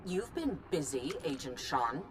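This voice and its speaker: Imperious, swooping low-voiced chancellor. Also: female voice, big pitch swings, a little slow. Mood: angry, commanding. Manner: commanding, cutting, clipped. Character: imperious, hawkish female chancellor. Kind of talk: video game cutscene acting. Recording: faint background noise.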